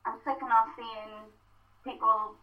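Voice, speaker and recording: A woman's voice: fairly high pitch, level tone, measured pace. Young woman, 20s, South Wales Valleys accent, Welsh first language; rambling, chatty guest; distant, dull phone-call audio. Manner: chatty storytelling, frequent ums. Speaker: podcast guest, theatre student. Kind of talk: casual interview chat.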